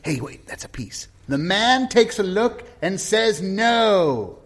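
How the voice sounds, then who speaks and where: An actor playing an eccentric, touchy open-mic poet in an improvised audio comedy, declaiming theatrically and swooping between chant and grumble. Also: male voice, sits high, very animated, takes it slowly, exaggerated voice. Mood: grandly poetic.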